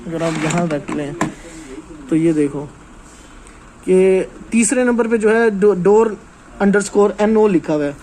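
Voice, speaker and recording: A man's voice: high-pitched, takes it slowly, long pauses, mellow, slight background noise, poor audio. A man giving an informal hands-on technical lesson as a trainer, explaining step by step and often checking that listeners follow.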